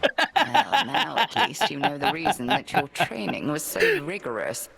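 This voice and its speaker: A low, gravelly witch headmistress, dry and teasing as she speaks. Her voice swoops widely between lines, measured and sardonic in a polished game performance. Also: huge pitch swings, crowd noise, exaggerated voice. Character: stern older female witch headmistress, dry wit. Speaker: game character.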